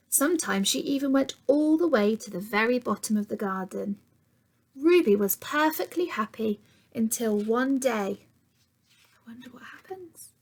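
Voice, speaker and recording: Woman, high-pitched, husky with some creak, expressive storytime read-aloud, teacherly, addressing young children. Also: background noise. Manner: expressive, addresses young listeners with questions, drops to hushed tones. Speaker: teacher reading a picture book to pupils.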